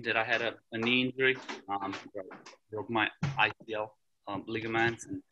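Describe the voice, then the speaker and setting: A man's voice: medium pitch, bright, forceful tone, rough recording. A man in his forties from Romania with a Romanian accent, a tennis coach talking casually on a video call, full of ums and restarts. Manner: halting, many ums and restarts. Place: small room at home. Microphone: video call, laptop camera.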